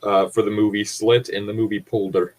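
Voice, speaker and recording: Lower-voiced man, casual and sweary. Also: flat delivery, brisk, a little mellow, slight background noise. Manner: easily sidetracked, freely profane. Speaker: podcast co-host. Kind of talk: casual chat and read-aloud of internet horror stories.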